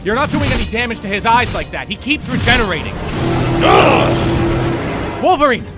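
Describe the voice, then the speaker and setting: A man's voice: extremely high pitch, sing-song, normal speed, over loud music. A man playing an earnest superhero team leader in an audio drama, barking urgent orders. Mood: urgent, commanding.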